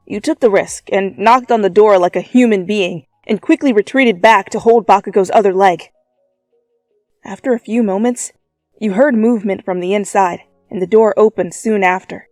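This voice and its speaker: Female narrator, expressive and playful story reader, close dry sound, soft music underneath. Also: mid-range voice, moderate pace, no echo. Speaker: fan fiction reader.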